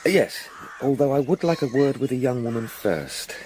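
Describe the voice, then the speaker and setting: An actor plays a young English gentleman solicitor in a radio drama. He is courteous and earnest, with lively swings of pitch at a middling height. Clear ambient noise sits behind the voice. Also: moderate pace, close to an everyday voice. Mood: concerned. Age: young adult. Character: earnest, polite young male solicitor, sensitive and concerned. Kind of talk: British radio drama acting.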